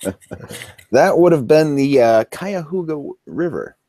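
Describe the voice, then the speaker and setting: Male co-host, deep and unhurried, animated intonation, deadpan wit. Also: dry recording. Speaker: podcast co-host. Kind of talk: casual chat.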